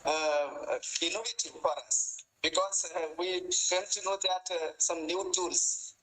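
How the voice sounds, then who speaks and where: A man giving a formal vote of thanks on a video call, halting and full of honorifics. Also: sits high, steady tone, bright, forceful tone, poor audio.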